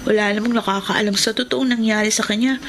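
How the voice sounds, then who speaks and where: A woman's voice: medium pitch, fairly even intonation, fast, lightly acted. A woman with a Filipino accent playing a sweet, dutiful schoolgirl daughter in a radio drama. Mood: wistful. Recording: noisy radio drama recording.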